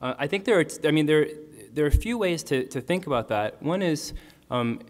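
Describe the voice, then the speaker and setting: A man's voice: a little high, lively intonation, brisk, in a large hall. A man on a legal panel answering a question hesitantly, restarting phrases with many fillers.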